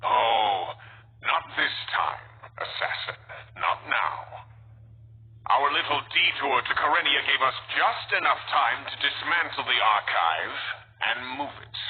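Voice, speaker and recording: A man voicing a scornful, cunning Templar leader in a video game, theatrical and condescending in a deliberate delivery. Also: mid-range voice, expressive. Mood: gloating. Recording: tinny band-limited game audio, faint noise.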